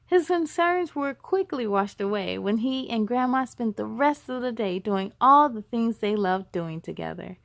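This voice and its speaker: A woman reading a children's storybook aloud as a storytime host, with expressive, emphatic delivery. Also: fairly high pitch, a little slow, gravelly, few pauses, dry recording, clean studio sound. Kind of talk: animated children's storybook narration.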